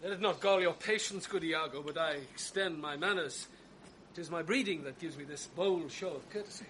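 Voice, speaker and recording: A man's voice: a little high, normal speed, a bit bright, slight background noise, close to an everyday voice. An actor playing a gallant young male lieutenant in a 1980s radio drama, declaiming in a courtly, elevated way.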